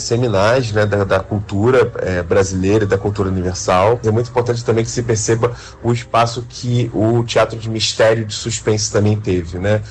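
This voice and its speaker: A man with a Brazilian accent explaining his field in an interview, haltingly, often adding little tag questions. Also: on the low side, darkish tone. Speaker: interviewed radio drama creator.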